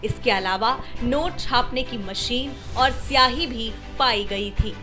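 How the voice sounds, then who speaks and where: Female report narrator, high-pitched, scripted news read over a clearly audible music bed. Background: background music.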